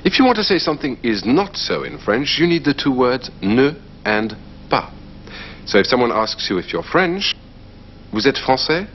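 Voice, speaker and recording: A man presenting a language course in a clear, measured teaching voice. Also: medium pitch. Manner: clear, measured teaching delivery with lively intonation. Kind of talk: scripted educational narration. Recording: dull, slightly noisy TV soundtrack.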